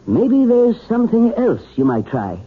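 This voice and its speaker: An actor playing a folksy family doctor in a 1970s radio drama, plain-spoken, tentative and reassuring. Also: male voice, very high voice, wildly swooping pitch, unhurried, breathy edge, heavily acted. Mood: explanatory, gentle. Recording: muffled, tinny old radio broadcast.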